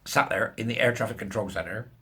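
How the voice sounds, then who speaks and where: A middle-aged British man giving sarcastic, incredulous, colloquial commentary on a news article in a husky voice. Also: fairly low pitch, brisk, pushed and bright. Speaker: commentary show host.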